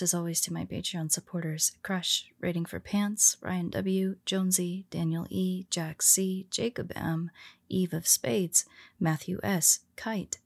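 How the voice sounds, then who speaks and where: A woman hosting her own channel, closing her audio drama with a relaxed, casual thank-you in a soft-spoken voice. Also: lowish voice, creaky, breathy edge, no room sound, studio quality. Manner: relaxed and soft-spoken, reading out a list of supporters. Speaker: audio creator, channel host. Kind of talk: casual outro.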